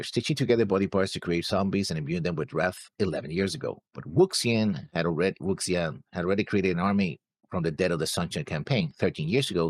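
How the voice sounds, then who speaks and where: Deep gravelly man, swinging pitch. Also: dry recording. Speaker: reaction show co-host. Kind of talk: casual discussion, reading notes.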